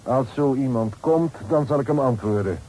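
An actor playing a formal, guarded father who rents rooms, in a measured, haughty radio drama style. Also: medium pitch, expressive, warm tone, close to an everyday voice. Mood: cold, defiant. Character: dignified, guarded father renting rooms, coldly defiant. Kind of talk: Dutch period radio drama acting. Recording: noisy old radio play recording.

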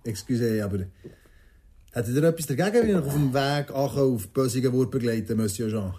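Husky, polite Swiss German man. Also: medium pitch, expressive, a little fast, mellow. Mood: polite, curious. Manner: courteous, with French phrases. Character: polite, curious male wanderer seeking a guide. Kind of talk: radio drama dialogue.

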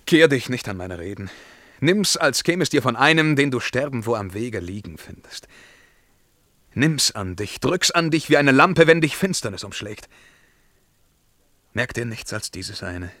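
An actor playing a tormented, world-weary emperor in a German verse radio drama, declaiming between outcries and hushed murmurs. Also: male voice, medium pitch, sing-song, a little slow, dry recording. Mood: urgent, admonishing.

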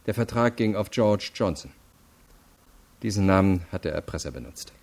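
A deputy prosecutor in a radio crime drama. His voice sits low. He lays out evidence deliberately, firm and matter-of-fact, never giving ground. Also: lively intonation, darkish tone. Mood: firm, methodical. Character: methodical, unyielding male deputy prosecutor interrogating.

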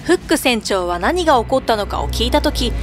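A woman's voice: fairly high pitch, very animated, a little fast, faint music behind. A woman narrating a scripted children's fairy tale as a storyteller.

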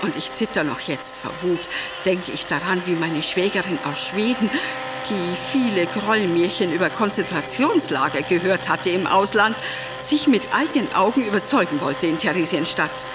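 An actress playing a hysterical, devoted Nazi-era wife and vain former stage star in a theatrical monologue, declaiming with drawn-out, melodramatic phrasing. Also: female voice, fairly low pitch, lively intonation, noisy background, close to an everyday voice. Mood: indignant.

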